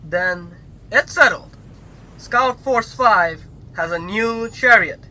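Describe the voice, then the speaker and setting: A man's voice: sits high, slow, sharp tone, exaggerated voice. A man playing a vain, self-assured starship captain with grand, dramatic manner in a sci-fi comedy audio drama. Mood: confident. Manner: pompous, grandiose. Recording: noisy hobbyist home recording.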